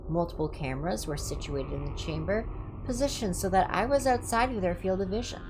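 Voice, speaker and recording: A woman livestreamer reading horror entries aloud in an ASMR style, with casual asides. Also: fairly low pitch.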